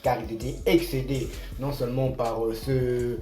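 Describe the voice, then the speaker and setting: Mellow-voiced male co-host, hesitant. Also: mid-range voice, flat delivery, normal speed. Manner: hesitant, many fillers. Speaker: studio co-host, journalist. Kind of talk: studio commentary. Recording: roomy studio echo.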